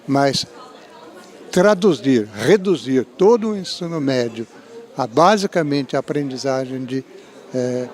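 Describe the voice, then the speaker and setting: A middle-aged man with a Brazilian Portuguese accent, an education professor giving an interview, speaking in measured academic phrases with frequent restarts and "né?" tags. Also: medium pitch, a little mellow. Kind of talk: interview, spoken analysis.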